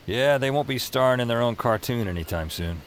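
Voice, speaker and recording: An actor playing a wry, haunted urban-fantasy hero who narrates and talks drily in an audio drama. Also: male voice, medium pitch, lively intonation, fairly quick, a little hiss or hum, lightly acted. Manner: dry, sardonic.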